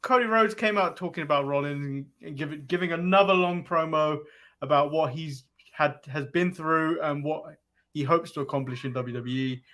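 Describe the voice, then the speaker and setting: Bright-voiced English man, casual chat. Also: a little high, normal speed. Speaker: podcast co-host.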